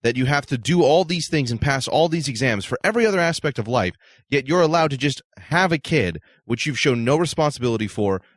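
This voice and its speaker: A man's voice: mid-range voice, animated, a little fast, dry recording, studio quality. A man co-hosting a talk show, arguing his opinions assertively in a casual debate style. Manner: assertive, argumentative.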